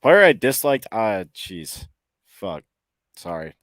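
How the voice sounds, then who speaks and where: A man's voice: fairly low pitch, big pitch swings, slow, fairly bright tone, dry recording, clean studio sound. A man co-hosting a sports podcast, chatting casually about hockey in a rambling, hesitant way, thinking aloud. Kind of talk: casual hockey fan chat.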